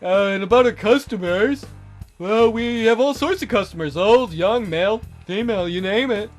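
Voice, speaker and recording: A man's voice: high voice, moderate pace, faint music behind, lightly acted. A man voicing a defensive, jittery male nightclub owner in a visual novel, hesitant and emphatic in his delivery. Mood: reluctant, hesitant.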